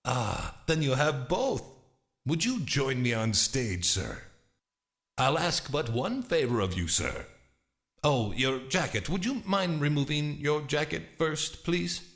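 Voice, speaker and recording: A man's voice: a little high, expressive, slow, a bit bright, full character voice. A man playing a formal, grandiose and menacing stage magician in a dramatized audiobook. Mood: probing, courteous. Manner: formal, courteous, grandiose showman. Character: theatrical, menacing stage magician, master of shadows.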